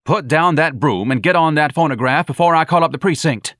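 A man's voice: mid-range voice, animated, a little fast, a bit bright, dry recording, studio quality, voice pushed up, lightly acted. A man voicing a hard, shrewd, threatening female nightclub boss in an audiobook, scornful and commanding. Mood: angry threat. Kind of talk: audiobook character voice.

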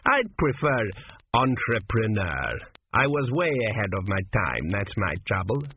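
A man's voice: on the low side, wildly swooping pitch, unhurried, full character voice. A man voicing a crude, cheeky old male ancestor who was a swindler, with a blunt, sneering manner. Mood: sly. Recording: tinny phone-like sound, faint hiss.

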